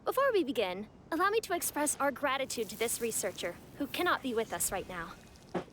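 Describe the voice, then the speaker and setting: A woman's voice: on the high side, sing-song, a little fast, fairly bright tone, a little hiss or hum. A woman playing a confident festival organizer in an anime video game, proclaiming with formal ceremony. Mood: ceremonial, confident.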